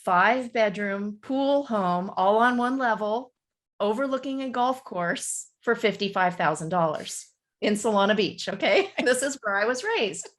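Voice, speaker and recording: A woman in her fifties recounting her story as a podcast guest, chatty and animated. She speaks straight to listeners and calls them folks. Her voice sits in an ordinary middle pitch for a woman. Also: unhurried. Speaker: guest, real estate broker. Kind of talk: casual storytelling interview.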